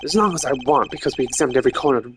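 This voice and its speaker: A man's voice: medium pitch, expressive, racing, background noise, natural voice. A man voicing a proud, sardonic video game investigator who is blunt and challenging. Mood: insistent. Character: proud, taunting male investigator, protective of his men.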